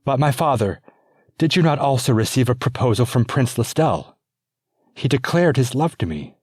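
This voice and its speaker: A man voicing a fierce, angry young princess with a high, strained voice. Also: expressive, normal speed, breathy edge, no echo, clean studio sound. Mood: indignant.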